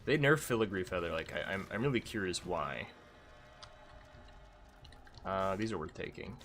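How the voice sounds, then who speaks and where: A man with a deep, stuffy, congested voice talks through his game run in a loose, rambling way and pokes fun at himself. His pitch swings widely as he thinks aloud, with faint keyboard clicks behind him. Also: lively intonation, moderate pace, fairly bright tone, slight background noise. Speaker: game streamer. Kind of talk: casual gameplay commentary.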